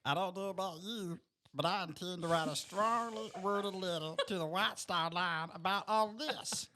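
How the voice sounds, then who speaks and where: A man's voice: sits high, normal speed, pushed and bright, a little hiss or hum. A man voicing an earnest, dying young romantic hero in a table read, shifting between improvised comic accents and voices. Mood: wry.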